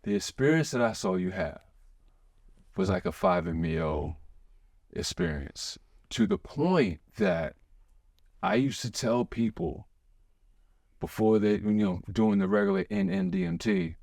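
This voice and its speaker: A man hosting a podcast in an excitable, rambling way, full of restarts and fillers. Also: fairly low pitch, a little slow, pauses often, no echo, clean studio sound. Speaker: podcast host. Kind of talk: casual chat.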